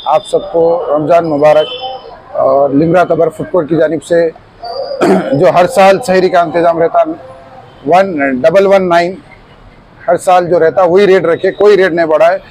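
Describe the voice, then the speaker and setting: A young man giving a polite, measured greeting into news mics in a crowded eatery. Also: fairly high pitch, steady tone, darkish tone, slight background noise, low-quality recording. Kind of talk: short formal greeting to news cameras. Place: crowded small eatery indoors. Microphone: cluster of handheld news mics.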